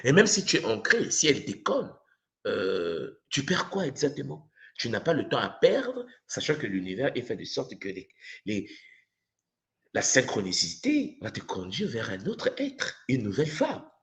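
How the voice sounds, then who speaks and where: Animated Congolese man teaching. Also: mid-range voice, a little slow. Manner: rhetorical questions, acts out imagined dialogue, repeats for emphasis. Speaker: spiritual teacher. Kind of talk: impassioned spiritual teaching to an online audience.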